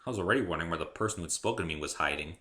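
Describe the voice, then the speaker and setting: A man's voice: sits low, lively intonation, very fast, bright, forceful tone. A man giving a dramatic first-person reading of his own story, theatrical and emphatic.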